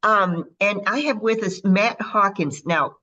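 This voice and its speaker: A woman's voice: medium pitch, unhurried, pushed and bright, lo-fi sound. Chatty Midwestern woman radio host. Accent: Midwestern American. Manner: chatty, bubbly introductions with frequent fillers. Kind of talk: casual radio interview.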